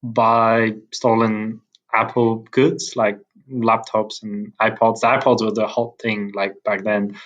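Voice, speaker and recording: A man's voice: on the low side, level tone, slow, fairly bright tone, dull audio. A man from Bulgaria in his thirties, a podcast guest chatting casually with many restarts and fillers and frequent laughs. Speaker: podcast guest, tech founder. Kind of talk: casual interview chat.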